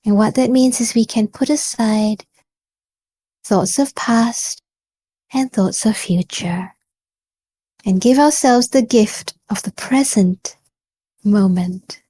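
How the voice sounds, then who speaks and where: A woman guides a meditation at a very unhurried pace. Her voice is soft-edged and mellow, recorded close and dry. She speaks gently and calmly, encouraging the listener with pauses between short phrases. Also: a little high, no room sound. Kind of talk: guided body scan meditation.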